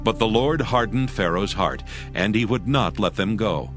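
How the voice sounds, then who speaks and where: A man narrating a dramatized Bible reading in a steady, measured way. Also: on the low side. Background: music.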